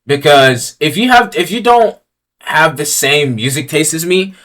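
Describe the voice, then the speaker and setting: A young man with a lively, bouncing voice gives blunt, profanity-heavy opinions. He swings from low mutters to loud outbursts as he reads each tier-list item aloud and riffs on it. The delivery is casual and unscripted. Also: measured pace. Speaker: streamer ranking items on a tier list. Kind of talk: casual commentary.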